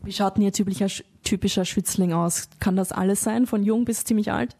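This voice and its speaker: A woman's voice: mid-range voice, fairly even intonation, brisk, dark tone, husky. A woman interviewing a guest, asking friendly, probing questions and often ending with a tag question. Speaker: interviewer, reporter.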